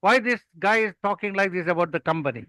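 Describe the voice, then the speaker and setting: Older male, South Indian accent, Malayalam native; bright, high voice, didactic conversational storyteller, dry sound. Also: lively intonation, fast talker, no echo. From Kerala, India. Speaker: guest, experienced club speaker.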